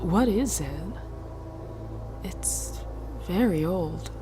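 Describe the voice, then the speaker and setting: A woman playing a thoughtful, questioning Romulan woman, speaking in a measured, deliberate way in a sci-fi audio drama. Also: fairly low pitch, lively intonation, over music. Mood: fond, curious.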